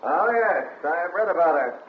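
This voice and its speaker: Wily old-radio police captain, high-pitched and echoey man acting. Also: animated, normal speed, lightly acted. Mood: needling. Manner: folksy, feigned casualness that turns to pressing interrogation. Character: wily, needling police captain questioning suspects. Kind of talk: 1940s radio drama acting. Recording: echoey, tinny, hissy 1940 radio broadcast.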